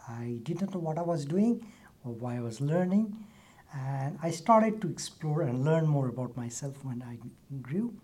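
Soft-spoken man, husky. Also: a little high, animated, moderate pace. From Nepal. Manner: soft-spoken, hesitant with restarts. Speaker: guest, university lecturer. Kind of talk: casual interview answers.

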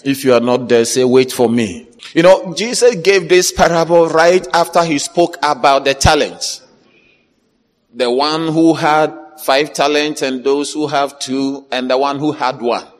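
A man preaching an animated sermon, quizzing the congregation and breaking into shouts and laughter. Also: fairly high pitch, unhurried. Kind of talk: lively church sermon. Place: church. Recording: reverberant church hall with congregation.

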